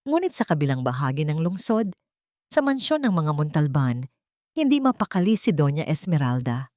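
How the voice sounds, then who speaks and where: A woman's voice: sits low, sing-song, normal speed, darkish tone, no echo, clean studio sound. A woman with a Filipino accent narrating a scripted dramatic story.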